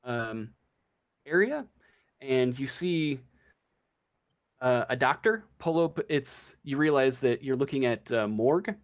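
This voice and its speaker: A man narrating a tabletop role-playing game as game master, improvising scenes in a halting, hesitant way. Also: mid-range voice, lively intonation. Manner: halting, hesitant, feeling for words.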